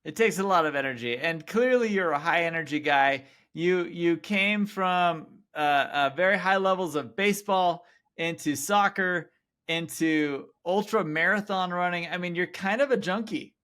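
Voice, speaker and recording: A man hosting a podcast interview, enthusiastic and quick to affirm his guest. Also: high-pitched, sharp tone. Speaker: podcast host.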